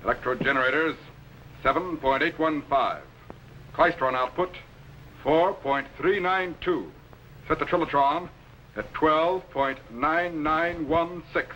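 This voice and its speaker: A man's voice: a little high, unhurried, sharp tone. A middle-aged man playing a commanding, learned time-lab captain in a 1950s television serial, clipped and precise. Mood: commanding, focused. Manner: clipped, authoritative, precise orders. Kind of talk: 1950s TV science fiction acting. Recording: noisy 1950s film soundtrack.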